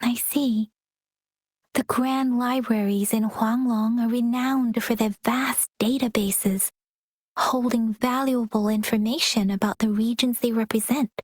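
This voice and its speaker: A calm young guide who explains things in a soft, measured, slightly breathy voice, pitched a little high. The sound is dry and close. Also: female voice, no echo. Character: gentle, polite young woman guide, calm and informative. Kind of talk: game cutscene voice acting.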